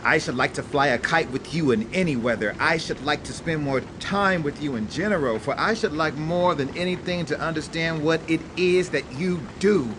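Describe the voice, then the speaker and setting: An actor playing an earnest young adult son, deferential and declamatory, in a comic radio theatre style. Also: male voice, fairly high pitch, normal speed, few pauses, background noise, natural voice. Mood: devoted, pleading. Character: earnest, eager, adoring grown son.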